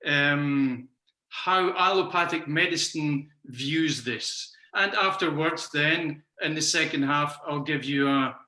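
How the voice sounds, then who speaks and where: A man's voice: a little high, slow, pushed and bright. A man in his sixties with a Scottish accent, giving an online talk as a teacher with frequent ums and uhs. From Scotland. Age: 60s. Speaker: macrobiotics teacher. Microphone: video call. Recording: roomy echo.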